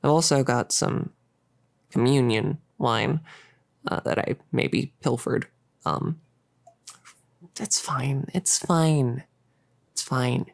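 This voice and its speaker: A woman playing a playful, doting young cultist in an intimate roleplay monologue, chatty and halting as she teases and coddles. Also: very deep voice, gravelly, no room sound, clean studio sound, heavily acted. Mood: curious, rambling.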